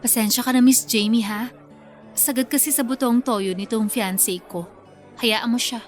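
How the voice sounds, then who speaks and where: Actress as sassy young bride, high voice, Filipino accent, bossy, flighty, radio drama, faint music behind. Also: female voice, steady tone, moderate pace, lightly acted. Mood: testy, sassy. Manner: breezy, sassy, argumentative.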